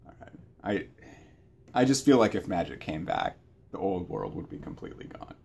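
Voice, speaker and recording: A man talking casually about books as a channel co-host, in a hesitant, self-correcting way with a husky voice. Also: sits low, huge pitch swings, normal speed, a little mellow. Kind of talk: casual book chat.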